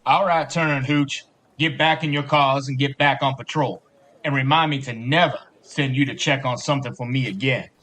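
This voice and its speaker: Man acting a husky, gruff police sergeant. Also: mid-range voice, normal speed, a bit bright, natural voice. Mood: stern, irritated. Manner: folksy, blunt, calls younger men kid and bub. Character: gruff, fatherly older police sergeant, blunt but caring. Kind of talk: audio drama acting.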